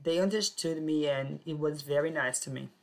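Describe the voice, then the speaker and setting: A woman telling her own life story in plain, simple sentences with short pauses. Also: sits low, vocal fry. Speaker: personal storyteller. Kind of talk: first-person life story.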